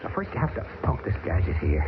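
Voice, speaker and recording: An actor playing a wisecracking American pilot stranded in the Arctic, jaunty and joking, in an old radio drama. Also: male voice, sits low, huge pitch swings, fast. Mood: urgent. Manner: jaunty, joking, turning alarmed. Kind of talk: 1970s radio drama acting. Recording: hissy, tinny old radio broadcast.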